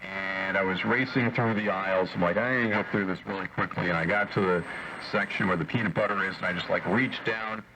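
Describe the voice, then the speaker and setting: Noisy shortwave reception, man, lowish voice, ham radio group chat, opinionated, wry, joking. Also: fairly even intonation, brisk. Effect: shortwave radio transmission. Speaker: amateur radio operator. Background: radio static.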